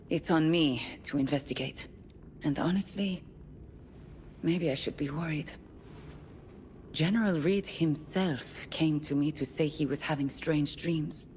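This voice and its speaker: A woman playing a composed, probing therapist in a space colony for a game audio log, speaking in a calm, clinical, measured way. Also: low-pitched, big-room sound, a little hiss or hum. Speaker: doctor, therapist.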